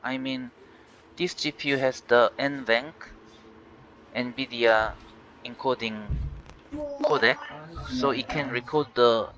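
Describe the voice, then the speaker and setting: A Korean-native man speaking English in a halting way, with many fillers and restarts, walking viewers through a tech issue. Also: mid-range voice, steady tone, a bit bright. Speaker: tech channel host. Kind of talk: casual screen-recorded walkthrough. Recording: dull lo-fi voice with faint hiss.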